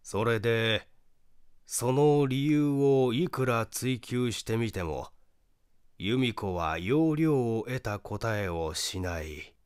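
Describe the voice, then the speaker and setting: A man voicing a reserved, grieving brother in an audiobook, speaking politely and hesitantly in measured phrases. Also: mid-range voice, lively intonation, smooth, no echo, clean studio sound. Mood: subdued, explaining. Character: reserved, grieving young man recalling his dead sister. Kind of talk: audiobook character dialogue.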